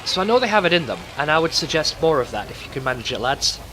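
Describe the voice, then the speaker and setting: A man's voice: on the high side, big pitch swings, quick pace, background noise. A man hosting a gaming video, talking casually and thinking aloud in a conversational let's play commentary.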